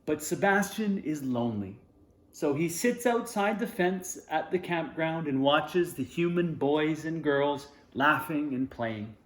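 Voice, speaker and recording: A man's voice: fairly high pitch, lively intonation, a little slow, echoey room. A man reading a children's storybook aloud, expressively, in short phrases with informal asides. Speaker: storybook reader.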